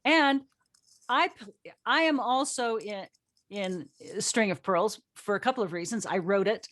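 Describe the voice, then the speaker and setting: A woman's voice: mid-range voice, unhurried, fairly bright tone. Woman in her sixties hosting casually. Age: 60s. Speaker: host, audio drama writer and director. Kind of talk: casual panel interview.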